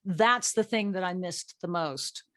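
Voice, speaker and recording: A woman's voice: on the low side, unhurried, fairly bright tone, no echo, clean studio sound. A woman speaking as an actress and host in a casual group discussion, chatty and given to anecdotes. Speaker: audio drama actress and panel host.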